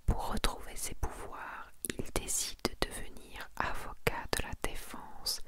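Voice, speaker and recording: A woman whispering in a breathy, intimate ASMR style, casually presenting her collection to one listener. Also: a little slow. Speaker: ASMR video creator presenting a manga collection.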